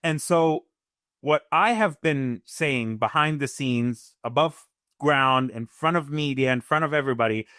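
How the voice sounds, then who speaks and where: A man's voice: mid-range voice, unhurried, sharp tone, no echo. Earnest Arabic-speaking man, dry sound. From Gaza. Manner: earnest, emphatic, repeats words when starting sentences. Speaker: guest analyst. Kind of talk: interview.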